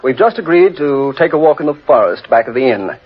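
An actor playing a breezy, skeptical English gentleman with a British accent and jaunty upper-class phrasing in a 1940s radio drama. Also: medium pitch, expressive, fairly quick, slightly rough. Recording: muffled old radio recording.

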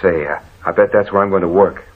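Easygoing low-voiced man, tinny old radio. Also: fairly even intonation, quick pace, slightly rough. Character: affable, obliging male travel companion. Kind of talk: 1940s radio drama acting.

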